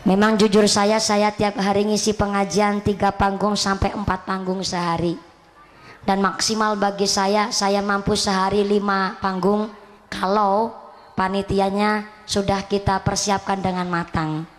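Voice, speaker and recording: A woman's voice: mid-range voice, little pitch movement, a little slow. Young Javanese woman preaching in a ringing hall. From Central Java, Indonesia. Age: 20s. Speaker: Islamic preacher. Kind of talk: lively stage sermon to a village audience. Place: outdoor stage at a Quran graduation event.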